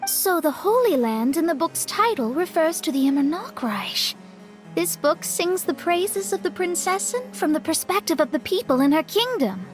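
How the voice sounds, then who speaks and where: Articulate young game heroine: very high, lively, inquisitive, music behind. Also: female voice, moderate pace. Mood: thoughtful. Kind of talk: video game voice acting.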